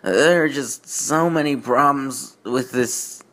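Male fan fiction critic, mid-range voice, unhurried. Incredulous and exasperated, halting off-the-cuff delivery with stammered repeats. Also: mid-range voice, fairly bright tone. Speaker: host of a fan fiction reading show. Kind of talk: mocking read-aloud with off-the-cuff commentary.

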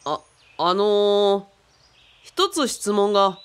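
Woman playing a lively, blunt, curious woman. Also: medium pitch, takes it slowly, smooth. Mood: baffled, wry. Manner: casual, blunt, wry. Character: frank, curious woman, wry apprentice named Kaoru. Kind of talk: radio drama acting.

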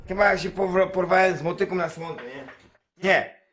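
A man's voice: sits high, normal speed, fairly bright tone, soft background music. Blunt man streaming a game. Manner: blunt, sweary, self-deprecating. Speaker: gaming streamer. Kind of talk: casual live gameplay commentary. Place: indoor home streaming room. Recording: faint background noise from game. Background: faint game ambience.

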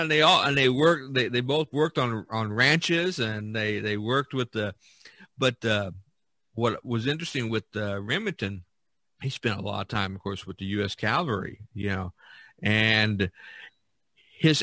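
A man hosting a podcast, chatting casually about his subject in a halting way, repeating words as he thinks aloud. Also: medium pitch, a bit bright, dry recording. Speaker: podcast host. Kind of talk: casual discussion of art and history.

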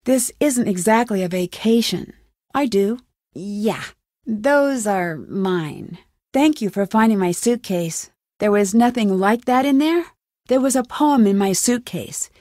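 A woman's voice: fairly high pitch, a little mellow, dry recording, studio quality. A woman voicing a determined, suspicious young amateur detective in a video game, with an earnest, questioning manner.

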